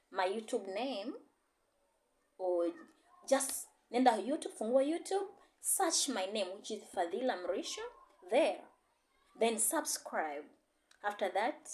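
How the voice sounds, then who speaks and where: Lively woman teaching. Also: medium pitch, slow. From Tanzania. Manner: repetitive, encouraging, code-switching teacher. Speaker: Swahili and English teacher. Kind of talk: online language lesson.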